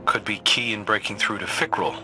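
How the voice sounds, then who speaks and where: A man's voice: lowish voice, brisk, lightly acted. A man playing a level-headed, determined operative in a sci-fi video game, speaking in a plain, businesslike way. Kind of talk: dramatic video game dialogue. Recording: music behind the voice. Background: background music.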